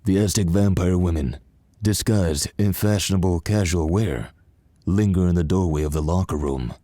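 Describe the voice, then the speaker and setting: A dramatic serial narrator for an audio drama. His voice sits low and has a mellow, dark colour. He delivers scripted adventure storytelling. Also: normal speed, no room sound, studio quality.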